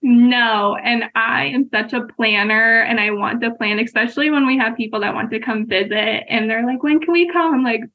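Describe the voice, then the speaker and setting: A woman guest chats in a podcast interview, telling lively anecdotes and acting out quoted exchanges. Her voice is a little high. It comes through a thin, tinny line, like a remote call. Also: normal speed, few pauses. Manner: lively storyteller who acts out quoted exchanges. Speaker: podcast guest, military spouse. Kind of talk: casual interview chat.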